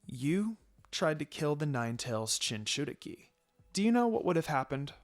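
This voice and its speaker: A man's voice: fairly high pitch, big pitch swings, normal speed, soft background music. A man voices a young ninja woman in an anime-style story. She is protective and earnest, formal in her wording, polite yet fierce. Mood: fierce, angry.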